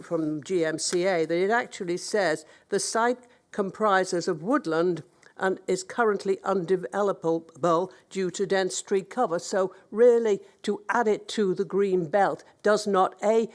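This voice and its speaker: A woman councillor with a blocked-up nose from a cold, making an earnest, hesitant statement at a public hearing. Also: fairly low pitch. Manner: earnest, hesitant, with many ums and restarts.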